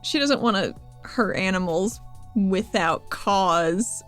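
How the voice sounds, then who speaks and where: A woman's voice: medium pitch, slow. A woman casually describing her character's actions as a player in a tabletop roleplaying game. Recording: music playing behind the voice. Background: music.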